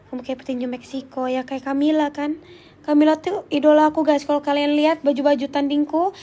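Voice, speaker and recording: A woman's voice: high voice, dark tone. A young woman with a voice congested by flu, chatting casually and informally on a livestream as she answers viewers. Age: young adult. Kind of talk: casual chat.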